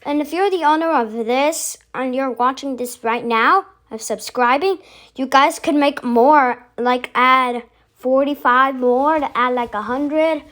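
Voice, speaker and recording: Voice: high voice, unhurried, fairly bright tone. A video host giving casual vlog commentary, rambling and thinking aloud.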